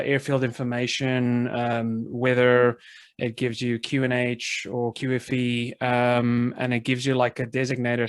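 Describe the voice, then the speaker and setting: Tentative male student, fairly even delivery. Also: medium pitch, clean tone, no echo. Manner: tentative, hesitant. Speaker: student pilot. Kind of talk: thinking aloud, answering instructor's questions. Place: online video call.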